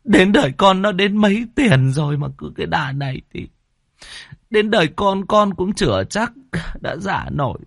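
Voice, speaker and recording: A man voicing a desperate poor peasant who stammers, pleads and wails in a high, strained voice. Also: gravelly, no room sound, studio quality, exaggerated voice.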